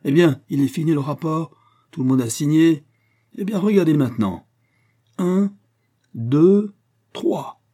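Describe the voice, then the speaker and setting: Man acting out a loud, drunken, bullying rich reveler. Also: lively intonation, moderate pace. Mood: gleeful, taunting. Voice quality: big muffled bass in the story, boisterous. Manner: insolent, bullying, familiar. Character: drunken, rowdy, mocking rich man in a mask.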